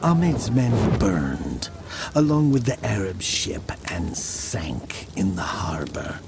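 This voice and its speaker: An actor playing a brooding murderer who narrates his own dark fable in a measured, dramatic manner. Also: on the low side, sing-song, vocal fry, very noisy, full character voice. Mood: somber, haunted. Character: brooding male village murderer recounting his dark tale.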